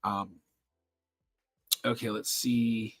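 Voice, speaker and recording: A man hosting a casual livestream Q&A as a genealogist, talking in a halting way with many ums and restarts as he reads viewer questions aloud. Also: fairly low pitch, little pitch movement, fairly bright tone, husky.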